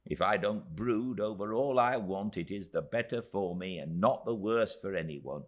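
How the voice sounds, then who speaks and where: A man's voice: on the low side, moderate pace, voice pushed up, close to an everyday voice. A man reading a storybook and voicing a tiny, emphatic, indignant middle-aged woman in distress. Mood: plaintive, earnest.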